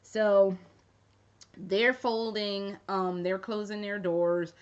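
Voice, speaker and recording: A woman's voice: mid-range voice, fairly even intonation, very slow. Profane rambling woman vlogger. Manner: rambling, profane, with jokey asides. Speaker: fashion vlogger. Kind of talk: casual chat to camera. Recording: dull lo-fi recording.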